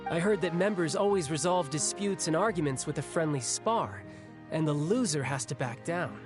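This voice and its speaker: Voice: mid-range voice, moderate pace, over music, natural voice. An actor playing an easygoing, protective young adventurer in a video game, talking casually and candidly. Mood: friendly. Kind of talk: video game story dialogue.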